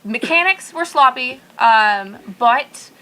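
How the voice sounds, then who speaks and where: A woman's voice: high-pitched, lively intonation, slow, bright, forceful tone, rough recording. A woman speaking casually as a guest on a podcast panel. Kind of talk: casual chat.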